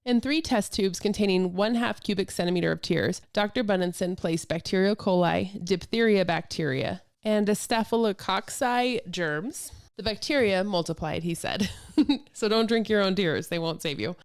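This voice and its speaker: Clear-voiced woman hosting a storytelling podcast. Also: medium pitch, normal speed. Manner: chatty, amused asides. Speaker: podcast host. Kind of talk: casual storytelling podcast, reading old news items with asides.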